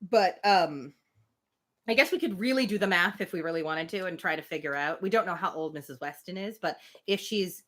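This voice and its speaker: A woman's voice: fairly low pitch, fairly quick, fairly bright tone. A woman who chats casually and reads a novel aloud expressively on a live stream.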